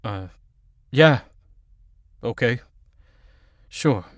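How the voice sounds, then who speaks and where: An actor playing a grieving young superhero in an audio drama, earnest and halting in his delivery. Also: mid-range voice, animated, no echo, clean studio sound. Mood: awkward. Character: conflicted grieving young male superhero team leader.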